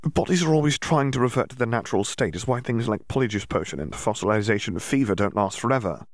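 A man's voice: medium pitch, expressive, fast talker, no room sound, studio quality, pitched above the performer's own voice, lightly acted. A man voicing an anxious teenage witch girl, who lectures in an exasperated way. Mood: exasperated lecturing.

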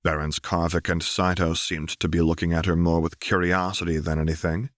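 A man's voice: low-pitched, a bit bright, dry recording, studio quality. A man reading scripted fiction aloud as an audiobook narrator.

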